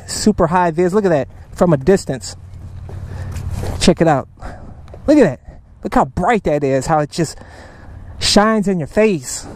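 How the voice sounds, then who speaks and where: A man's voice: a little high, a little mellow. A man reviewing a motorcycle backpack outdoors in a casual, enthusiastic, salesy way, tossing in slang like "man" and "baby" and plenty of fillers. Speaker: motovlogger reviewing gear. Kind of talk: casual moto vlog review. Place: outdoors in a parking lot beside his motorcycle.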